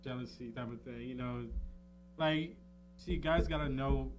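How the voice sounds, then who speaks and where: A man's voice: medium pitch, measured pace, a little hiss or hum, rough recording. A man in casual, candid conversation, speaking in a slangy way and often dropping in "you know.". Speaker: podcaster in a talk show conversation.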